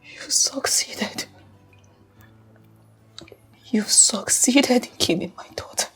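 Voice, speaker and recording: An actress playing a grief-stricken, accusing mother in a screen melodrama, with drawn-out, wailing delivery. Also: high-pitched, steady tone, a little mellow, faint music behind. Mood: bitter, hushed.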